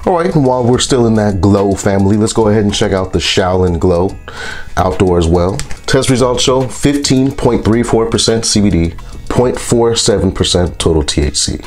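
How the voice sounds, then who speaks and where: A man with a congested, stuffy voice from a sinus infection, reviewing products in a relaxed, rambling vlog. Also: on the low side, normal speed, faint music behind. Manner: relaxed, rambling, with frequent fillers.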